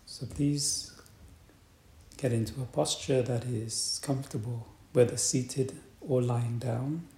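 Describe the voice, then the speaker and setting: Slow, mellow-voiced man guiding meditation. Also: medium pitch, gravelly. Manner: calm, deliberate, with long pauses. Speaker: meditation teacher. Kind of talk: guided meditation. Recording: roomy echo, faint background noise.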